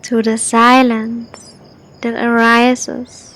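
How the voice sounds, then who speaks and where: A woman leading a guided sleep meditation, speaking in short, deliberate, spaced-out phrases with gentle instruction. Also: fairly high pitch, completely flat delivery.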